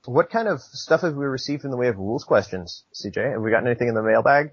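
A man's voice: mid-range voice, expressive, fast talker, slightly rough, no echo, highs cut off. A man hosting a podcast who steers the talk, teases the guest and asks him questions. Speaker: podcast host. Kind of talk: casual podcast chat.

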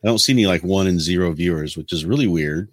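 Low-voiced man, casual and dry-sounding. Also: quick pace, dry recording. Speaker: podcast co-host. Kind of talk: casual chat.

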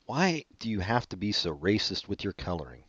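A man hosting a casual comedy chat, reading online questions aloud and answering with deadpan sarcasm. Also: a little fast, slightly rough, dry recording. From California. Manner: sarcastic, deadpan jokes with sudden pitch jumps. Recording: dull, muffled lo-fi audio.

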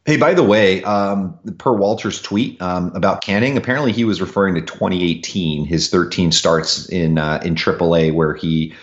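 Deep-voiced man, dull lo-fi sound. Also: moderate pace, hardly any pauses. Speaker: podcast co-host and fantasy sports analyst. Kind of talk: casual sports talk, analysis. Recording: dull, lo-fi voice connection.

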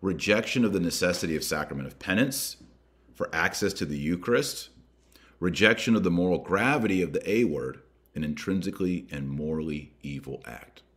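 A man hosting a podcast, reading a document aloud with emphatic, deliberate delivery and adding his own commentary. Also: sits low, expressive, a bit bright. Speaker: podcast host.

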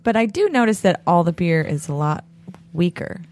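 A woman co-hosting a podcast, telling stories in a laid-back way with a slightly raspy voice and frequent laughter. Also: on the low side, expressive, moderate pace, a little mellow. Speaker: podcast co-host. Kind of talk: casual chat.

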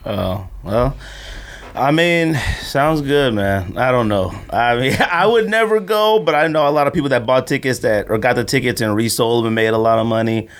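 A man's voice: mid-range voice, moderate pace. A man hosting a podcast in casual, slangy, conversational talk. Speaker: podcast host. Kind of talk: casual sneaker podcast chat.

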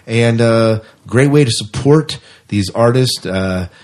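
A man's voice: medium pitch, slow, a little mellow. A man hosting a podcast in a casual, conversational interview style. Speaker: podcast host.

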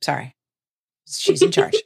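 A woman's voice: measured pace, a little mellow. A woman chatting casually and playfully about books as a podcast host, with self-deprecating humor. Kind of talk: casual, joking book chat.

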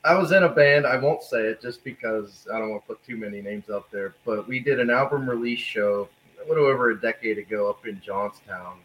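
A man's voice: mid-range voice, a little fast, lo-fi sound, crowd noise. A man from a Pennsylvania band with a Pennsylvania accent, telling laid-back, self-deprecating stories in an interview. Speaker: band member. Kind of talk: casual storytelling in interview.

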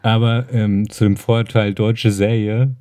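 Mellow-voiced man chatting on a podcast. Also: on the low side, level tone, measured pace. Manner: colloquial, opinionated. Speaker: podcast co-host. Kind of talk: casual chat.

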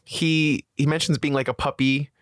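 A man co-hosting a casual podcast, excitable and quick to laugh, his voice leaping up when he is surprised. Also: no room sound, clean studio sound. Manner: excitable, jumps high in pitch when surprised, laughs often. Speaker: podcast co-host. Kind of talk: casual chat recapping a reality show.

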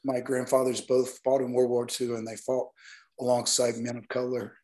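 A hoarse man from Northeast Alabama, a guest talking earnestly and at length in an interview. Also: mid-range voice, monotone, moderate pace, darkish tone. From Northeast Alabama, US South. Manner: earnest, rambling explanations. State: hoarse, partly lost voice. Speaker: guest, nightlife event promoter. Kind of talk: casual interview talk.

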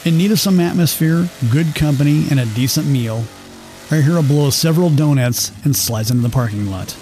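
A man playing a wry narrator in a radio comedy adventure, telling the story in a measured, mock-literary way. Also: fairly high pitch, noisy background, lightly acted.

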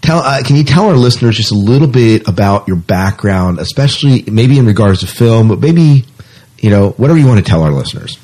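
Low-pitched man hosting a podcast. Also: lively intonation, a little fast, a little mellow. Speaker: podcast host. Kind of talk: casual podcast interview chat.

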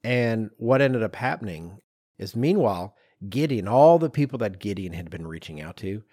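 A man's voice: fairly low pitch, expressive, normal speed, mellow, no echo, studio quality. A man, telling stories as a podcast co-host in an emphatic, self-deprecating, conversational way. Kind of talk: animated storytelling in casual podcast chat.

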